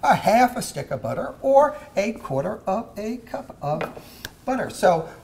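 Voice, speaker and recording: A man hosting a kids' cooking show in a home kitchen, talking in a halting way with many "uh" fillers. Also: high-pitched, big pitch swings, creaky, darkish tone. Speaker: host.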